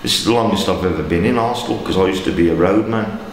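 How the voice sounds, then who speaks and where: Man, 50s, very low voice, plain-spoken hostel resident, roomy hall sound. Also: quick pace. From England. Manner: plain-spoken, rambling with fillers. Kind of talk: documentary interview. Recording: echoey hall with faint noise.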